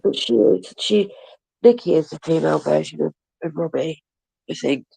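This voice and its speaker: A woman's voice: sits low, moderate pace, gravelly, warm tone, breathy edge, no room sound, low-quality recording. A woman hosting a casual livestream, gossiping and swearing with a bunged-up cold and laughing often. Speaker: livestream host. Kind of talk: casual chat with viewers.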